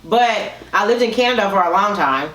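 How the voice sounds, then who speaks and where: A woman's voice: mid-range voice, a little fast. A woman in her thirties co-hosting a casual conversation, speaking animatedly and agreeing often, seated at a table indoors. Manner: animated, emphatic agreeing, frequent "right". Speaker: co-host. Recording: roomy echo.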